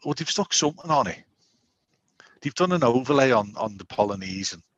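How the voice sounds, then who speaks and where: A man in his forties, co-hosting a podcast in casual chat, rambling and animated with lots of restarts. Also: medium pitch, brisk, no echo, muffled recording. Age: 40s. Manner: rambling, animated, self-interrupting. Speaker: podcast co-host.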